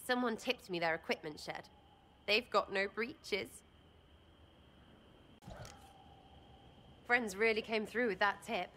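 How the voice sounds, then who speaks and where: A woman's voice: medium pitch, moderate pace, a bit bright, slight background noise, natural voice. A woman voicing a brash, irreverent young rogue in a video game, with an English accent and a blunt, slangy, rambling manner. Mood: brash, amused.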